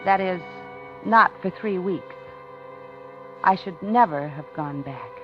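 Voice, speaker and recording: An American actress playing a polite, uneasy widowed office worker in a 1950s radio suspense drama, confiding and genteel. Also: female voice, lowish voice, slow pace. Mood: uneasy, reflective. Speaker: radio drama lead and narrator. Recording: muffled 1950s radio recording, organ music.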